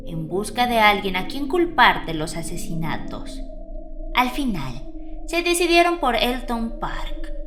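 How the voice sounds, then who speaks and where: A woman playing an elderly grandmother who recounts a grim horror tale in a measured, dramatic way with weighty pauses. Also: mid-range voice. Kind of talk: dramatic horror audio fiction narration. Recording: eerie music behind the voice.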